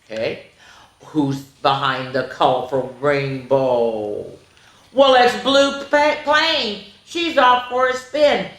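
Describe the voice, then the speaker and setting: A woman's voice: deep voice, takes it slowly. A woman hosting children's storytime, reading aloud expressively in an exaggerated sing-song way with chatty asides to young listeners. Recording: echoey room.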